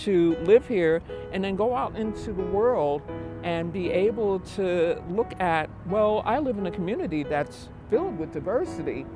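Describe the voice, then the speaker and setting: A resident reflects idealistically on living in community. Guitar music is clear in the background. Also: medium pitch.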